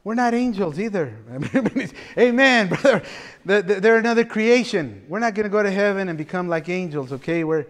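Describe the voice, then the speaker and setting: A middle-aged pastor, preaching an animated sermon from a church pulpit with playful asides. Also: male voice, high-pitched, normal speed. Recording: long reverb of a church hall.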